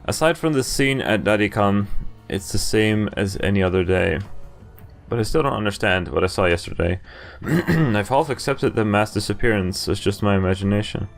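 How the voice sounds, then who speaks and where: A man's voice: on the low side, expressive, normal speed, vocal fry, faint music behind. A man hosting a game playthrough, reading visual novel narration aloud and breaking off for casual commentary. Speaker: video game playthrough host.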